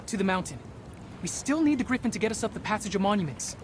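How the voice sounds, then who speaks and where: A man's voice: sits high, sing-song, fast, noisy background. An actor playing an earnest, dutiful teenage Spartan boy in a video game, serious and formal, with music underneath. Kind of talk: dramatic video game acting.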